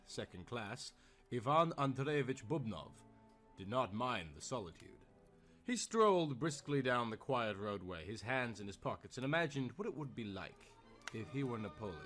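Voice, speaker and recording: A man's voice: mid-range voice, a bit bright. A man narrating a scripted story in a formal, theatrical, dramatic storytelling style.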